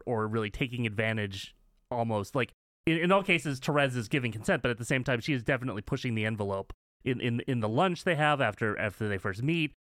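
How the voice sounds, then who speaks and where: A man co-hosting a podcast, casually discussing in an emphatic, halting way with repeated words. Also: mid-range voice, fairly bright tone, no room sound, studio quality. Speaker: podcast co-host.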